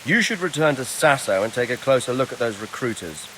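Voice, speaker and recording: An actor playing an authoritative, cautious older lord in a video game, commanding yet fatherly, with formal and deliberate phrasing. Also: mid-range voice, big pitch swings, fairly bright tone, slight background noise, rough recording. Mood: commanding, urging. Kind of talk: dramatic video game dialogue.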